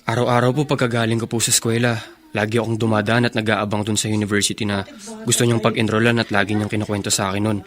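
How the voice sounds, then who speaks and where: An actor playing an earnest, respectful young son in a Filipino radio drama, polite and pleading. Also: male voice, on the low side, little pitch movement, fast talker, natural voice. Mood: earnest, worried.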